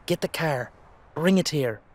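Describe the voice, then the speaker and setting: A man's voice: on the high side, animated, measured pace. A man playing a protective, brotherly dullahan with an Irish accent, reassuring and colloquial in an audio drama. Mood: protective, affectionate.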